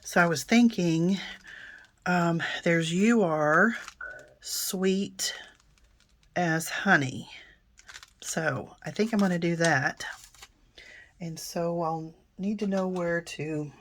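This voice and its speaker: A middle-aged woman, hoarse and raspy after a coughing fit, calmly explaining a card-making project step by step and often clearing her throat. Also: slow, pauses often. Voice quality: deep and raspy. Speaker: card-making tutorial host. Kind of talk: casual crafting tutorial narration.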